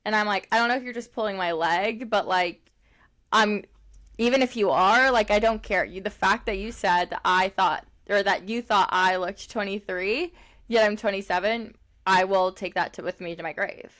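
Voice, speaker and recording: A woman's voice: medium pitch, moderate pace, a bit bright. A woman in her late twenties co-hosting a podcast, chatting casually and rambling, with lots of "like" and frequent laughs. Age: 20s. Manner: chatty, rambling, heavy use of "like", laughs often. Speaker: podcast co-host. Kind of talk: casual chat.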